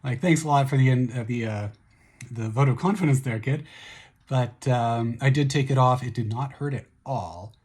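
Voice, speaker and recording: A middle-aged man with a hoarse voice, chatting casually in a video diary, upbeat and relaxed with many fillers and restarts. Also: medium pitch, moderate pace. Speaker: vlogger recovering from surgery.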